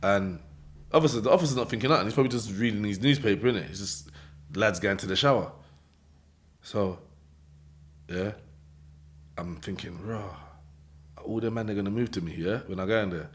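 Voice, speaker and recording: A man with a London accent telling a story in an interview, in animated street slang, acting out voices and laughing often. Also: on the low side, brisk, long pauses. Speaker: interview guest.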